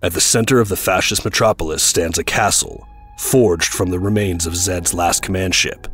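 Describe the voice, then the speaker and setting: A man narrates an audio drama from a script. His voice is low and rough-edged, recorded close and dry. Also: normal speed, a bit bright, no echo, faint music behind.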